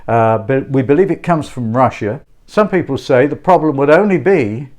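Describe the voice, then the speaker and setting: Male video host, medium pitch, informal and chatty explainer delivery with repeated short phrases and rhetorical questions. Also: expressive, normal speed, a little mellow. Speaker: YouTube host and presenter. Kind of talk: informal explainer to camera about a radio hobby.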